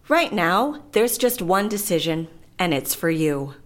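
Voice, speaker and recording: A woman's voice: on the low side, sing-song, measured pace. A woman acting a distrustful, threatening client in a comedic audio drama, with a dry, skeptical delivery. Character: distrustful, menacing woman bargaining over a faked death.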